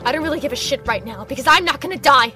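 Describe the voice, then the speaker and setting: A woman's voice: sits high, lively intonation, racing. A woman in a radio drama playing a captive detective and mother, desperate, defiant and emotional. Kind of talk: amateur radio drama acting. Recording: loud music behind the voice.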